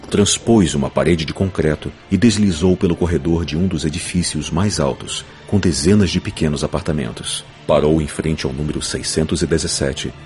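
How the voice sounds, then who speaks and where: A man's voice: very deep voice, normal speed, few pauses, darkish tone. A man reading scripted, dramatic fiction narration as an audiobook narrator. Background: music under the voice.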